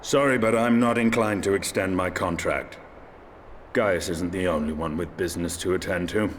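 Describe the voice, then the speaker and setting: An actor with a British accent playing a gruff, aloof, dryly terse dragoon warrior in a video game cutscene, speaking in measured, formal, old-fashioned phrases. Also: male voice, low voice, slight background noise, close to an everyday voice.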